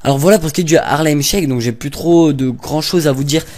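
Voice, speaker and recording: A man's voice: on the high side, a little fast, darkish tone. Young guy rambling over his gameplay, jokey, lots of ums, home mic. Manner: rambling, hesitant, jokey with frequent fillers. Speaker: gaming YouTuber. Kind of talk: casual gameplay commentary.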